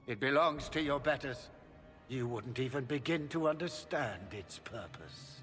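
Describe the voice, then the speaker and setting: An actor playing a proud, scheming older magister in a video game cutscene, pompous and menacing. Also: male voice, medium pitch, lively intonation, measured pace, a bit bright. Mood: contemptuous. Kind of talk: dramatic game cutscene acting. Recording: long reverberant hall, music underneath.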